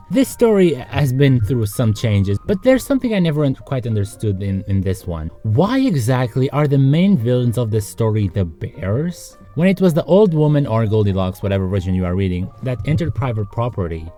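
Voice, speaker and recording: Animated, sarcastic male narrator over music. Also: mid-range voice. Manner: sarcastic, joking, conversational asides to the viewer. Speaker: host of a video series. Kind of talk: comedic scripted narration with casual asides.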